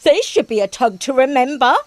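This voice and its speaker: High, haughty hippo empress. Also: female voice, lively intonation, darkish tone, no room sound. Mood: amused scorn. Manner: grand, theatrical, drawn-out exclamations. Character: boastful huge female hippo, haughty river empress.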